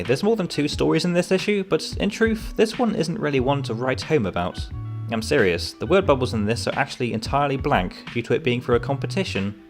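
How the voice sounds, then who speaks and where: A man narrating a scripted comic book review in a wry, informal way. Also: medium pitch.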